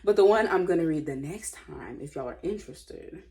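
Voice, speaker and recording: A woman's voice: on the low side, animated, moderate pace, dark tone, a little hiss or hum. An American woman casually reading stories aloud with informal, sassy and blunt running commentary.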